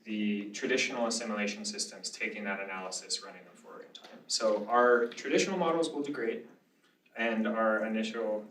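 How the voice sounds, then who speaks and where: A man's voice: lowish voice, a little fast, fairly bright tone. A man speaking informally as a lecturer answering questions after a talk, in a casual, conversational way. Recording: roomy lecture sound, distant mic, faint noise.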